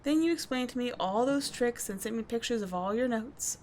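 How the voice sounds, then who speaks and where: A woman's voice: a little high, lively intonation, close to an everyday voice. Woman as blunt delinquent schoolgirl over background noise. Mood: sincere, grateful. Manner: casual, sweary, halting confiding talk with soft asides. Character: tough but kind teenage delinquent schoolgirl, blunt and sardonic. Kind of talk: scripted audio roleplay addressed to the listener.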